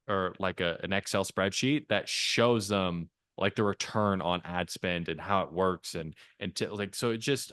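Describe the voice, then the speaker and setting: A man's voice: low voice, a bit bright, clean tone, dry recording, clean studio sound. A man coaching a salesperson on a video call, talking casually with lots of "like" fillers. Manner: casual, filler-heavy, frequent "like".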